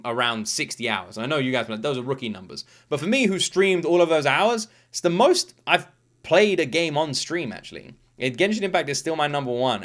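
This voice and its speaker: A man's voice: medium pitch, a bit bright. A man hosting a reaction video, talking casually and emphatically with informal, sweary enthusiasm.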